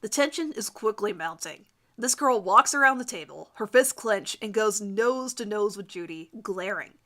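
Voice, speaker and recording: A woman giving a theatrical, expressive dramatic reading of fan fiction. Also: mid-range voice, moderate pace.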